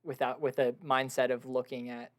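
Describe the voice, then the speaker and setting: A man's voice: medium pitch, level tone, normal speed. Young male podcast co-host, enthusiastic fan, gushing and laughing. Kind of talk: casual film review chat. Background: washing machine at home.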